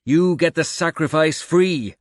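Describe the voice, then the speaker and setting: A man voicing a gruff fighter who barks his words in the heat of battle. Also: fairly high pitch, unhurried, no room sound, clean studio sound, voice pushed up, natural voice. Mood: urgent. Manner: barking.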